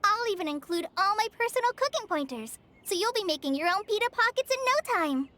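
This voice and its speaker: A woman voicing a gentle, polite teenage forest ranger in a video game, girlish and slightly hesitant. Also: extremely high pitch, animated, fairly quick, heavily acted. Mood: pleased. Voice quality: girlish, light. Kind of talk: video game character acting.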